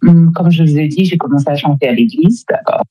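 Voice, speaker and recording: Casting: female singer as radio guest, soft-toned mellow voice, hesitant delivery, close dry studio sound. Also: low voice, fairly quick, breathy edge, no echo. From Democratic Republic of the Congo. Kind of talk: conversational radio interview.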